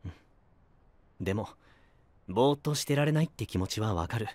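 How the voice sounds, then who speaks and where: A composed young anime knight who guides others with reason. His voice is a bit husky and moves freely in pitch. The sound is dry and close. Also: fairly quick, no room sound. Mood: calm. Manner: steady, reasoning, gently guiding. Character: calm level-headed young male knight. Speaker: voice actor. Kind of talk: anime voice drama.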